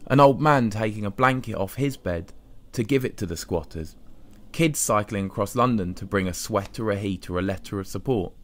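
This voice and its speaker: A man narrating an audiobook diary in the first person, expressive and measured, with short pauses between phrases. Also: lowish voice, gravelly, no echo. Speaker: audiobook reader.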